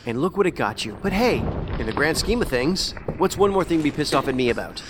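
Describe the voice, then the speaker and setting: An actor playing a calm, sardonic trapped man in a sci-fi audio drama, musing wordily with dry humour. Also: a little high, fast talker, background noise. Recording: music under the voice.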